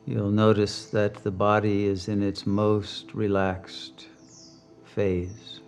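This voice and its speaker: Slow, low-voiced man guiding meditation. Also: monotone, darkish tone, faint music behind. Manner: slow, deliberate, with long pauses. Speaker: meditation teacher. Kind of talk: guided meditation.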